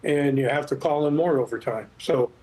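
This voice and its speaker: A middle-aged or older man giving expert commentary in a news interview, explaining things in a measured, hesitant way. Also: on the high side, a little mellow, breathy edge, low-quality recording. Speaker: expert guest, former air traffic controller.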